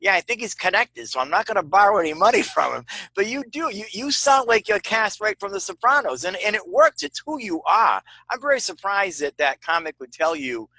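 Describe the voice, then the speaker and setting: A man's voice: high-pitched, sing-song, brisk, gravelly, few pauses, pushed and bright, dry recording, low-quality recording. A man from Rhode Island with the local accent, an older guest on a video call, chatting enthusiastically and heaping on compliments. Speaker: guest, ministry friend. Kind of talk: casual video chat.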